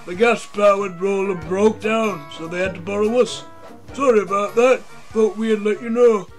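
A matter-of-fact storybook working driver played by a man. He apologizes in clipped, casual phrases, pitched very high, with faint music behind. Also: fairly even intonation, moderate pace, natural voice. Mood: apologetic.

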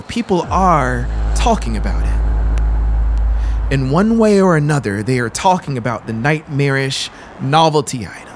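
A man in his thirties giving a deliberate, theatrical, brooding reading of a horror audiobook, close on the microphone. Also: medium pitch. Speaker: audiobook narrator. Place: small brick-walled studio, indoors. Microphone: close microphone on boom arm, headphones. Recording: steady background ambient noise. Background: droning synthesizer ambience.

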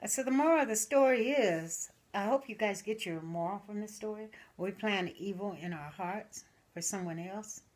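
An older woman, a grandmother, telling a folksy story at a slow, animated pace in a living room, recorded by the camera. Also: mid-range voice.

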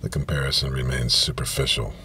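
A man in his fifties with a gravelly voice gives a halting, deliberate literary reading, pausing and repeating himself. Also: extremely low pitch. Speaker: reader. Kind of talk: literary reading of science fiction prose. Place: inside a parked car, small space. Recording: steady ambient noise of car interior.

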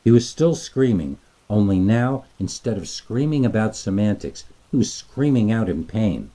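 A man reading a scripted scary story as narrator, in a dramatic, deliberate storytelling manner. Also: lowish voice, very animated, dark tone, rough recording.

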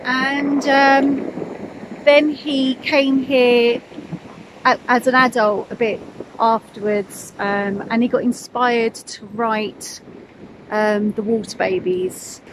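A woman's voice: a little high, little pitch movement, slow, noisy background. Chatty woman vlogging on a phone. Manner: chatty, enthusiastic, many ums. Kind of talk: casual travel vlog. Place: outdoors by the sea, later a home kitchen. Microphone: phone held at arm's length.

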